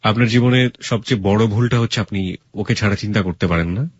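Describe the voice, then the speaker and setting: A Bangladeshi man speaks as a radio interviewer in a low-pitched voice. He is blunt and emphatic, slipping English words into his advice. The recording is very dry and somewhat muffled. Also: brisk, husky, no room sound. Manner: mixes English words and phrases into speech. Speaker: radio host. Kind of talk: radio life-story interview, giving frank advice.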